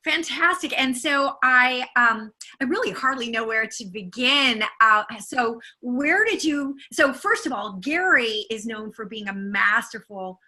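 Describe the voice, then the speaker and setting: A woman's voice: on the high side, expressive, measured pace, hardly any pauses, bright, forceful tone. A woman hosting an interview, enthusiastic, asking long, rambling questions. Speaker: interviewer, talent agent. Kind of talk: interview host.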